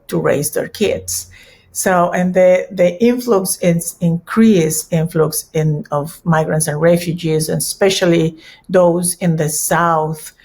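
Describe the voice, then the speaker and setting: A middle-aged woman from Peru with a Peruvian Spanish accent, giving a conference talk in a halting way with many restarts. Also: lowish voice, creaky, darkish tone. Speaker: conference speaker. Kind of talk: conference presentation.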